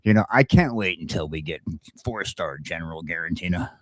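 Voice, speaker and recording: A man with a deep voice, recorded close and dry, chatting casually as a show co-host. He is blunt and opinionated, and often stumbles into a restart. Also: expressive, a little fast, no room sound. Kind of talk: casual chat.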